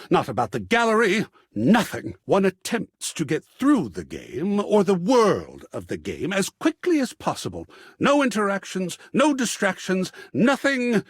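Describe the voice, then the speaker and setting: An actor playing an effusive, sensitive male artist in a video game, with florid, dramatic phrasing and a husky voice. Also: a little high, dry recording, clean studio sound, exaggerated voice. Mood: cold indignation. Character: effusive, sensitive, theatrical male artist, an art-obsessed optimist. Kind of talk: theatrical video game character dialogue.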